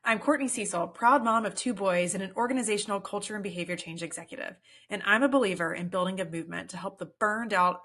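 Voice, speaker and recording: A woman's voice: medium pitch, a bit bright. A woman with a Southern accent, hosting a podcast in an upbeat, friendly way. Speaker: podcast host.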